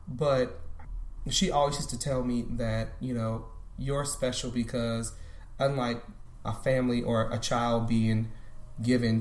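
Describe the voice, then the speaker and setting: Man, 30s, reflective, frequent restarts, average pitch, roomy recording. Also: a little mellow. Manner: thoughtful, restarts often. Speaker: podcast guest. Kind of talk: reflective casual conversation. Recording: roomy echo.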